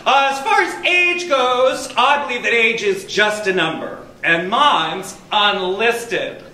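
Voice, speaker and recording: A man's voice: sits high, animated, slow pace, bright, forceful tone, echoey room. A man in his thirties introducing himself in a campy, boastful way. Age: 30s. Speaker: performer.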